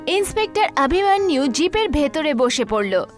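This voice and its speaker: A woman narrates a scripted horror story. Her voice is extremely high and swings widely in pitch, with music playing faintly behind her. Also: sing-song, normal speed.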